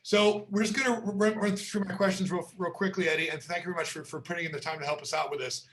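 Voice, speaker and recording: A man's voice: high voice, steady tone, fast talker, fairly bright tone, a little hiss or hum. A man hosting an educational webinar, talking with stammering restarts and wry asides. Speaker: webinar host.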